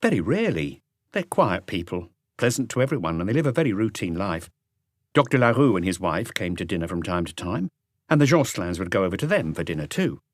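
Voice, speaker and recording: A man's voice: deep voice, big pitch swings, brisk, dry recording, studio quality. A man voicing a young, affable female concierge in an audiobook, polite, chatty and matter-of-fact. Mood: helpful, chatty. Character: young, affable woman concierge, helpful and soft-spoken. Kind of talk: audiobook character dialogue.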